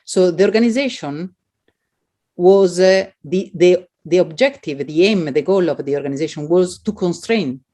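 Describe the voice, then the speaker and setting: A woman with an Italian accent, speaking as an academic who answers questions in an expressive, halting way. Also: lowish voice.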